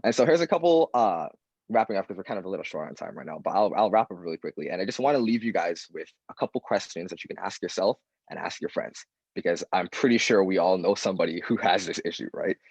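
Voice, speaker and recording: Earnest young man, husky low voice. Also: fast talker, no room sound. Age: young adult. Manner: earnest, direct, quotes people in lively voices. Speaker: panelist. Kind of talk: speaking at an open mic panel.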